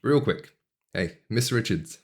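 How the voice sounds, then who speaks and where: A man's voice: fairly low pitch, lively intonation. Earnest young man. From Australia. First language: not English. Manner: measured, earnest storytelling with deliberate pauses. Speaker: vlogger. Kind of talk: reflective personal monologue.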